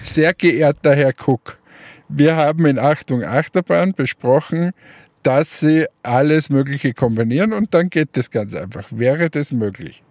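A man co-hosting a casual podcast chat, talking in a relaxed, joking and speculative way. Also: fairly high pitch, a little slow, no room sound. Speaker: podcast co-host.

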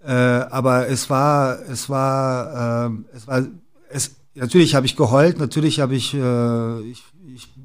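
A man's voice: mid-range voice, steady tone, unhurried, clean tone. Hesitant man from former Yugoslavia. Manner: hesitant, many fillers and restarts. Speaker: interview guest, basketball club executive. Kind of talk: casual interview talk.

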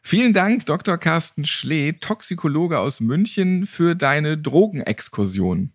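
A man's voice: fairly high pitch, sing-song, a little slow, no echo, studio quality. A man hosting a podcast in a playful, quipping way. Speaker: podcast host. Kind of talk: joking interview and show sign-off.

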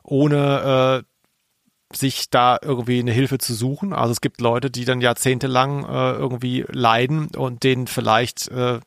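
A man's voice: medium pitch, flat delivery, a little slow, creaky, no room sound, studio quality. A man co-hosting a podcast, explaining things earnestly in casual conversation. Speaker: podcast co-host.

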